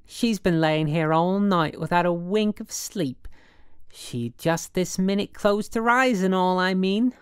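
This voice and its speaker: A woman's voice: on the low side, a little slow, clear, resonant tone, dry recording, clean studio sound, pitched above the performer's own voice, close to an everyday voice. A woman voicing a brassy working gal in an audiobook, slangy and suspicious, with affectedly genteel airs. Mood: flirty, gracious.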